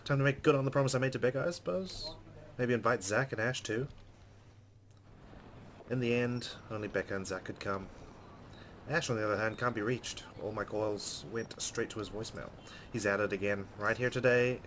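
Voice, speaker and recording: A man's voice: medium pitch, normal speed, a little hiss or hum. A man reading visual novel narration aloud as a let's play streamer, with casual asides.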